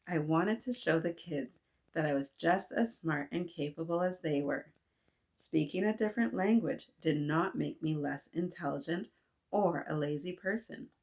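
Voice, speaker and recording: Woman in her thirties, low voice, children's read-aloud, gentle asides, desk webcam. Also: normal speed. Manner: gentle, asks kids to reflect, frequent "right?". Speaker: children's book reader. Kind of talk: read-aloud storytelling for kids. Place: indoors, small room with painted mural.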